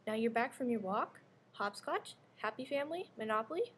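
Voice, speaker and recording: A woman's voice: on the high side, lively intonation, moderate pace, fairly bright tone. A woman playing a sinister, possessive mother in readers theater, archly formal and sugary as she coaxes and scolds. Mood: sweetly coaxing. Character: sinister other mother, sweetly possessive and controlling. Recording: faint background noise, live ambience.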